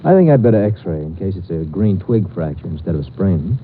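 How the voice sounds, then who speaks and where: A deep-voiced kindly ship's doctor. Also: male voice, very animated, brisk. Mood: gentle. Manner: gentle, chatty. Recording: tinny noisy 1950s radio broadcast.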